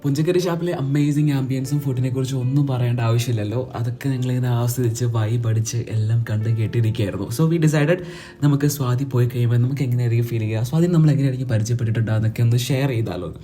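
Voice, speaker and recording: Young male vlogger, chatty and teasing, quick delivery, mellow tone. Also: medium pitch. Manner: chatty, teasing, mixes in English phrases. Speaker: vlog host. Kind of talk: casual vlog narration to viewers. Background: background music.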